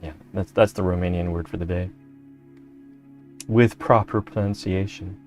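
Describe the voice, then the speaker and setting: A man's voice: deep voice, fairly even intonation, moderate pace, a little mellow, faint music behind. A man chatting casually on a stream, dry and playful with his co-host.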